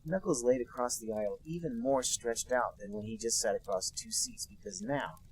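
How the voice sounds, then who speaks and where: Man reading a fanfic deadpan. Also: medium pitch, normal speed, vocal fry, a little hiss or hum, rough recording. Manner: deadpan mock-serious reading. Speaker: podcast co-host reading a fanfic. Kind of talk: comic dramatic reading.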